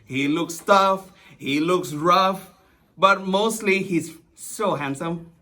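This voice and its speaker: A man with a high, bright voice works as host of a puppet show. His delivery is informal and loosely improvised, with some hesitation as he feels his way along. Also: slow.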